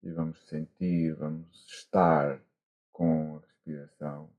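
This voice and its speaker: A man with a European Portuguese accent, a monk guiding a meditation gently and haltingly, with long pauses, over a video call. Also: rumbling low, level tone. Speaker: Buddhist monk, meditation teacher. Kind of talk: guided meditation instruction. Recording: muffled, dull online call audio.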